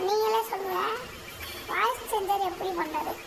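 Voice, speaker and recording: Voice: very high-pitched, moderate pace, creaky. A game video host, commenting playfully and bantering in acted-out taunts. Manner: bantering, acts out back-and-forth taunts. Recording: noisy home-style recording.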